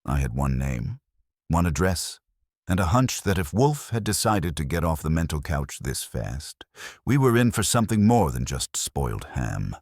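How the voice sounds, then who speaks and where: Very deep-voiced male detective-story narrator. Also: slightly rough, dry recording, studio quality. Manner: wry hardboiled storytelling. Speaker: audiobook narrator. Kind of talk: scripted first-person detective story narration.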